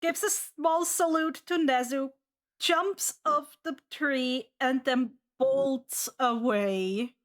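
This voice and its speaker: A woman's voice: sits high, slow. A woman narrating and refereeing a tabletop game as game master.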